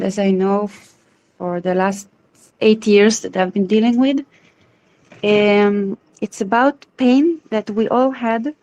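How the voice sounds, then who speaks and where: A woman's voice: mid-range voice, a little mellow. A woman in her thirties from Israel, hosting an online support group in a welcoming, reassuring way over a video call. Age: 30s.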